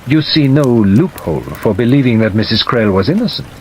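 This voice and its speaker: A courteous male detective, voiced by a male narrator, questions with polite persistence in a mystery audiobook. His pitch is medium and moves freely. Soft rain sounds behind him. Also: a little fast, a little hiss or hum, lightly acted, poor audio. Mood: probing. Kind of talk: audiobook character dialogue. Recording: steady rain sound over the reading.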